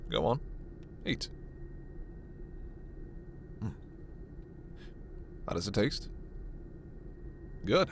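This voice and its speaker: A man playing a gentle, scholarly, protective alien doctor in a sci-fi ASMR roleplay, speaking in a measured, formal, precise way. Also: on the low side, wildly swooping pitch, a little hiss or hum, heavily acted. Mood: kindly, attentive.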